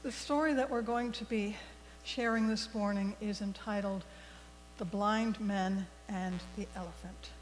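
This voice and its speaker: A woman reading a story aloud to a congregation in a deliberate, expressive storybook manner. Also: mid-range voice. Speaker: worship leader telling a story for all ages. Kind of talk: read-aloud storytelling in a church service. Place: large indoor congregation space. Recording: echoey hall with mains hum.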